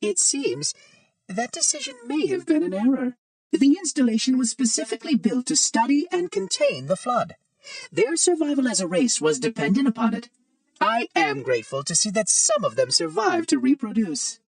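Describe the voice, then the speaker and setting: A man's voice: very high voice, huge pitch swings, moderate pace, recorded from a distance, heavily acted. A man playing a prim, fussy, ancient robot monitor in a video game, speaking in a formal, precise, oddly cheery way with light robotic processing. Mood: clinical, pleased. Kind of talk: video game character acting.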